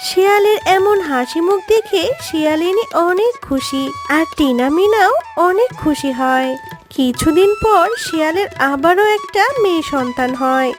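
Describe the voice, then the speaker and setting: A woman's voice: very high-pitched, expressive, a little slow. A woman narrator reading a scripted children's cartoon story. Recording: background music under voice.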